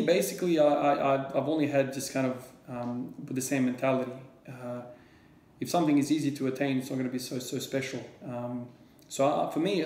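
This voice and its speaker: Man in his thirties, flat rambling talk. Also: mid-range voice, echoey room. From Croatia. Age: 30s. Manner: rambling, repeats words when starting sentences, many fillers. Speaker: fitness vlogger answering viewer questions. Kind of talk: casual Q&A talk to camera. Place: small room at home with shelves. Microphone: camera or laptop at desk distance.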